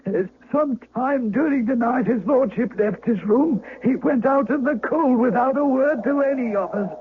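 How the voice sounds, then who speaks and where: A narrow, faintly hissy old radio recording of a household servant in a period drama. The voice is high and flustered, with a halting, respectful stammer. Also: male voice. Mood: sorrowful, flustered. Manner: hesitant, stammering, deferential. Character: flustered, deferential male servant of a great house. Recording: tinny old radio broadcast with hiss.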